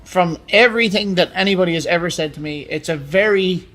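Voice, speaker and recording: A man's voice: high voice, fairly quick, a bit bright, faint music behind. A man co-hosting a podcast in casual, conversational chat about films and games. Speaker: podcast co-host.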